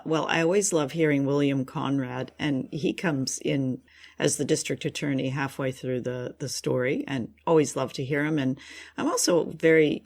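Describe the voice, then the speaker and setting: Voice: mid-range voice, gravelly, darkish tone. A co-host of a radio drama review show, chatting casually in an appreciative, effusive way.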